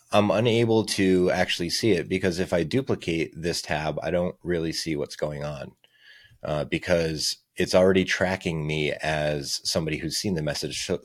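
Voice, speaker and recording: A man's voice: sits low, dry recording, clean studio sound. A man narrating a casual screencast tutorial as the presenter, explaining things step by step. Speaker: software demo presenter.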